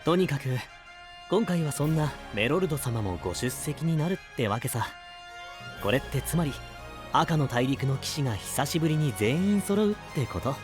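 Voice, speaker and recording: A man's voice: on the high side, animated, lightly acted. Composed, explanatory young knight, acted by a man over music. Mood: pleased, teasing. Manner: measured, explanatory, with deliberate phrasing. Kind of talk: Japanese anime-style voice drama acting. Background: prominent background music.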